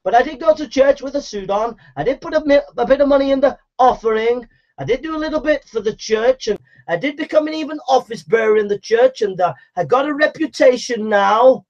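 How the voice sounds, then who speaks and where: A high-pitched man preaching with fierce urgency, repeating his lines again and again as he calls listeners to repent. His voice comes through a dull, muffled recording. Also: brisk. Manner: exhorting, repetitive, mocking mimicry of flattery. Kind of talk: fiery sermon.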